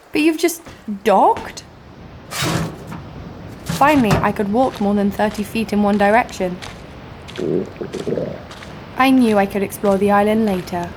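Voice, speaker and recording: A brash female adventurer played by an actress tells her exploits in an audio drama. She is sardonic and cocky, at a middling pitch, with faint ambience behind her. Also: slight background noise, lightly acted. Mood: irritated, brazen. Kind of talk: first-person audio drama narration and dialogue.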